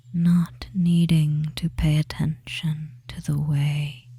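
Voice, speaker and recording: A performer voicing a devious, soothing femboy witch in a hypnosis ASMR roleplay, in a soft breathy whisper with a slow, lulling manner and long pauses. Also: mid-range voice, full character voice. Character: devious soothing witch of the deep wood, feminine young man.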